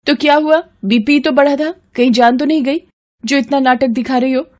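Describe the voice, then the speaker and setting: A woman voicing a scheming, sharp-tongued young daughter-in-law in a moral story, scolding and demanding. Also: high-pitched, steady tone, normal speed, voice pushed up, lightly acted. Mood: scornful scolding.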